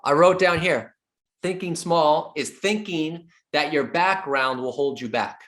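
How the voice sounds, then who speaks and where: A man's voice: on the high side, a little slow, a bit bright. A middle-aged man giving a sales training talk as a real estate coach, emphatic, repeating his key points and joking with the audience.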